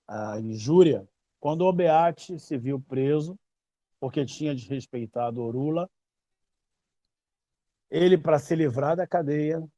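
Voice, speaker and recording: A man's voice: mid-range voice, warm tone, no room sound. A Brazilian man in his fifties, a babalawo explaining his tradition in casual talk, emphatic and repeating phrases for stress. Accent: Brazilian Portuguese. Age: 50s. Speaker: babalawo teacher.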